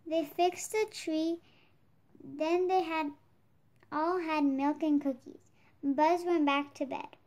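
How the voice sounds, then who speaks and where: A young child reads a picture book aloud in a very high voice. The delivery is slow, word by word, as a story for other children. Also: fairly even intonation, a little mellow. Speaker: storybook reader.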